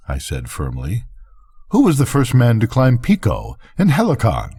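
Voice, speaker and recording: Older man, very low voice, soft dark tone, measured storytelling, bedtime narration. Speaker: storyteller reading to a grandchild. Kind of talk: bedtime story narration of classic science fiction.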